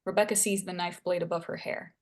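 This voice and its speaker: Lower-voiced woman narrating a screenplay. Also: little pitch movement, a little fast. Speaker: stage directions reader.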